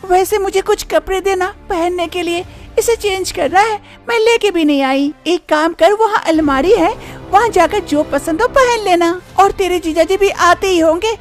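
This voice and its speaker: A woman voicing both twin sisters in a cartoon story, a young wife and her scheming sister, lively and teasing. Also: very high voice, normal speed, hardly any pauses, faint music behind. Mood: playful.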